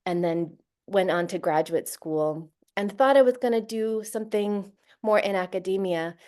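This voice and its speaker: A woman's voice: medium pitch, normal speed, a little mellow. A woman author from Florida talking in an interview, thoughtful, with many fillers and light laughs. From Central Florida, USA. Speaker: author and guest. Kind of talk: casual interview talk.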